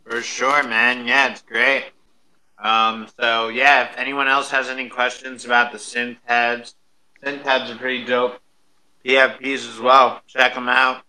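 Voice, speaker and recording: A man's voice: mid-range voice, level tone, a little slow, bright, forceful tone, a little hiss or hum, poor audio. A man hosting a casual live chat in an informal way. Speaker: host.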